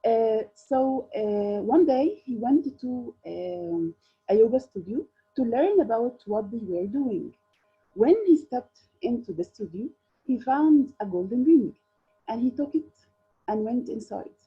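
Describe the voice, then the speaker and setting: A woman's voice: fairly high pitch, animated, measured pace, slightly rough. A woman whose first language is Arabic, joining a workshop by video call and speaking English hesitantly, with many fillers and restarts. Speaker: workshop participant. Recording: dull lo-fi call audio.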